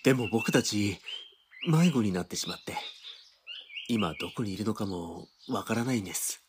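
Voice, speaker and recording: A man's voice: on the low side, normal speed, a little hiss or hum, lightly acted. A man acting as a polite, diffident young man in an audio drama, speaking tentatively. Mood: hesitant, apologetic.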